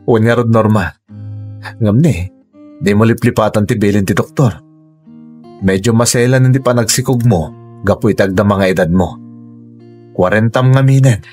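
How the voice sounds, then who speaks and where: An actor playing a gentle, caring father and husband in an Ilocano radio drama, speaking in an earnest, tender and measured way with an Ilocano accent. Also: lowish voice, soft background music, close to an everyday voice. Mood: caring concern.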